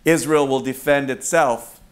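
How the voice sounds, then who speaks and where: A man's voice: medium pitch, fairly even intonation, unhurried, very noisy. A middle-aged man, a rabbi, preaching a sermon in a conversational, storytelling way with fillers and self-corrections. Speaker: rabbi of a congregation.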